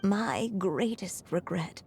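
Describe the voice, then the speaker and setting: A woman playing an elderly writer in a game, wistful and gently resolute, speaking in a measured, reflective way. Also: lowish voice, animated, gravelly, heavily acted. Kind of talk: scripted game voice acting. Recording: music behind the voice. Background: background music.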